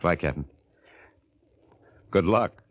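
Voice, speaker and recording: Casting: doomed astronaut renegade, extremely deep, quiet, slow, resigned, vintage 1950 radio sound. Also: male voice, background noise. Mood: calm resignation. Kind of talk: 1950s radio drama acting.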